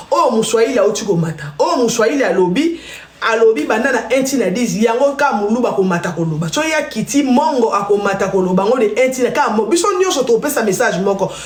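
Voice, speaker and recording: A woman's voice: on the high side, expressive, quick pace, roomy sound. A Congolese woman hosting a live stream, chatting and telling stories straight to her viewers. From Congo (DRC). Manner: chatty, storytelling, addresses viewers directly. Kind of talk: casual live-stream chat.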